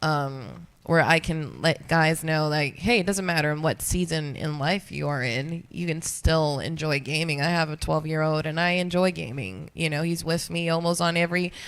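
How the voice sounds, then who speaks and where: A woman with a very low, smooth, clear voice speaks on a gaming panel at a convention. She talks in a relaxed, casual way, saying "y'all" and laughing easily. Her answers are unscripted and conversational. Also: normal speed, hardly any pauses.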